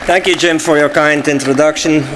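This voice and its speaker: A man's voice: fairly high pitch, steady tone, moderate pace, a crowd in the background, noisy background. Belgian Dutch-accented man giving a speech. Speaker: sponsor speaker. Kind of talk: formal speech at an event.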